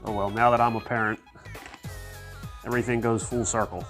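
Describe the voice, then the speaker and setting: A man's voice: lowish voice, level tone, a little fast, music in the background. A man in his thirties with a congested, nasal voice, casually explaining his truck build as a DIY vlogger in his home garage, rambling with loose fillers. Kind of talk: casual workshop vlog.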